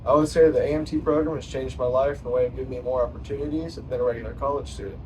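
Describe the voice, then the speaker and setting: A young student from Kentucky talks quickly and plainly. He is miked from a distance, with clear factory noise in the background. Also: medium pitch, fairly even intonation, mellow. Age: young adult. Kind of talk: scripted testimonial. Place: manufacturing plant.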